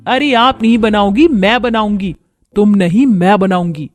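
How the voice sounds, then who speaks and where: A woman voicing an eager, competitive young daughter-in-law in animated story dialogue. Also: medium pitch, normal speed, faint music behind, pitched above the performer's own voice, close to an everyday voice. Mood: bickering.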